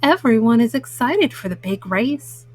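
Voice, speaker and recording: A woman storyteller reading a children's picture book at bedtime, slowly and simply, as a mama to young listeners. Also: a little high, animated, no room sound. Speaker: storyteller host.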